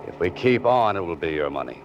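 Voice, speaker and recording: A man acting a cool, wry writer wanted for murder, deadpan and wisecracking, in the style of a 1940s radio detective drama. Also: sits low, fairly quick, a little hiss or hum. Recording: muffled old-time radio recording.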